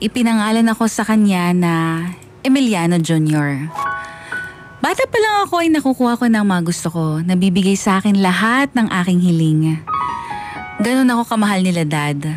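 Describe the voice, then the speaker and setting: A woman's voice: mid-range voice, close to an everyday voice. Woman reading as a grown son telling a life story, wistful, sentimental, faint music under it. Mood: fond. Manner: reflective, sentimental. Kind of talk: scripted first-person narration.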